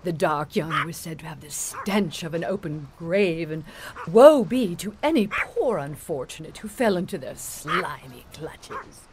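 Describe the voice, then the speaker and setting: Eccentric old widow in a radio play, swoopy theatrical storyteller, noisy background. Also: female voice, low voice, lively intonation, a little slow. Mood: ominous. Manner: theatrical storytelling, grandiose diction. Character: chatty eccentric older widow, folklore researcher, doting dog owner. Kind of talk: audio drama acting.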